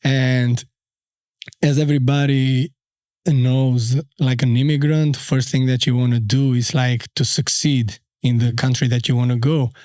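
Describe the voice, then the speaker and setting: A man in his thirties from Albania with an Albanian accent, a podcast guest telling his story in a halting, easygoing way with many fillers. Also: mid-range voice, little pitch movement, a little mellow, no echo, studio quality. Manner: unhurried, halting, frequent fillers. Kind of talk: casual storytelling interview.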